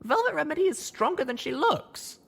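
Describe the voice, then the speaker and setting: Man voicing a high-pitched, stubborn young mare. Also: big pitch swings, a little fast, vocal fry, fairly bright tone, full character voice. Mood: worried, earnest. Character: young mare pony heroine, weary, stubborn, earnest.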